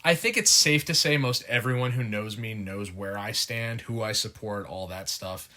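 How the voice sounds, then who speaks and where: A man's voice: fairly low pitch, moderate pace, bright, forceful tone. A middle-aged man co-hosting a casual podcast with his wife, chatting in an easygoing, joking way. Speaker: podcast co-host. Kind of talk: casual chat.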